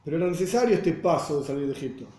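Man, Buenos Aires accent, Torah teacher, mellow warm voice, fairly high pitch, echoey room. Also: expressive, very fast. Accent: Buenos Aires Spanish. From Argentina. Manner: explanatory, asks rhetorical questions, checks listeners follow. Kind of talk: religious class lecture. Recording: roomy echo.

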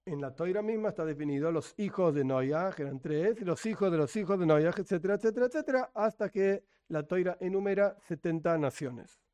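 A man's voice: high-pitched, lively intonation, fairly quick, few pauses, mellow. A middle-aged man from Buenos Aires with a Rioplatense accent, giving an informal Torah lesson full of rhetorical questions and sarcastic asides. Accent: Rioplatense Spanish. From Argentina, Buenos Aires. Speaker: Torah teacher.

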